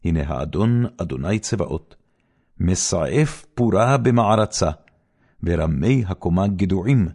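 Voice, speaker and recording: A man's voice: expressive, gravelly, slightly rough. A man reading scripture aloud as a solemn, declamatory recitation. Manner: solemn, declamatory, with wide swings of pitch and loudness. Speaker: Bible reader.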